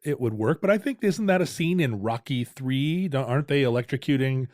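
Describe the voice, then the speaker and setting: A man's voice: mid-range voice, expressive, brisk, a little mellow, dry recording. Joking man co-host, dry close sound. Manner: joking, rambling, self-correcting. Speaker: podcast co-host. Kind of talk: casual chat.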